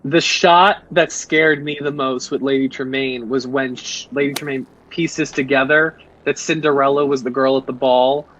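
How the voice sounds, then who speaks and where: A man's voice: medium pitch, steady tone, moderate pace, hardly any pauses, a bit bright, lo-fi sound. A young man chatting as a guest, thoughtful and enthusiastic, often hedging with "um" and "I mean". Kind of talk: casual chat.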